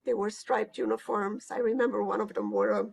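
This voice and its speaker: An elderly woman from Hungary, giving a recorded oral history interview as a Holocaust survivor, speaking reflectively and hesitantly with pauses. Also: medium pitch, sing-song, gravelly. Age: older.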